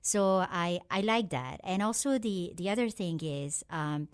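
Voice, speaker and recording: A woman from Pakistan answering questions on a radio show in a slightly low voice. She tells her story in a measured way, pausing with frequent fillers. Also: expressive, smooth. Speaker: guest. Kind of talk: interview answers.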